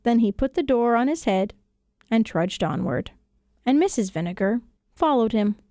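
A woman storyteller reading children's tales in an expressive audiobook narration style. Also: on the high side, moderate pace, no room sound, clean studio sound. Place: radio studio.

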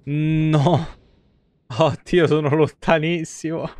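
Male streamer, 30s, smooth close dry sound, informal, joking, free with swearing. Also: fairly high pitch, level tone, takes it slowly, darkish tone, no echo. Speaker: live streamer. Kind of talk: casual gameplay commentary. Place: indoor room, seated at a desk.

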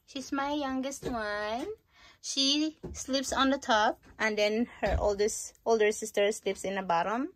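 Slow-paced middle-aged woman vlogger. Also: on the high side, steady tone, slight background noise. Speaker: family vlogger. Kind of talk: casual home tour vlog narration. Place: inside and just outside an RV trailer. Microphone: phone at arm's length.

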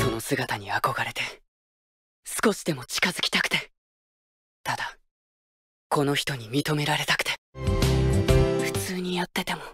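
A slight teenage boy batter, light for a male voice. He is polite but fiercely driven, speaking game lines over loud music. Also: a little high. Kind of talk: anime baseball game voice lines.

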